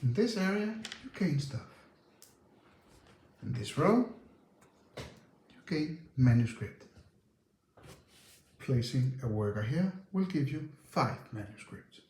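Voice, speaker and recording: A man presents the rules of a board game step by step. His voice is soft-edged and mellow, with very varied intonation. The recording has a clear room echo. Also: medium pitch, big pitch swings, slow, pauses often, a little hiss or hum. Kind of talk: instructional narration, explaining game rules. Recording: roomy, echoey sound.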